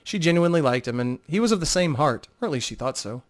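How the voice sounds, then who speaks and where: Animated male fantasy storyteller. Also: fairly high pitch, fast. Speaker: narrator. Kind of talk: dramatic scripted narration of fantasy tale.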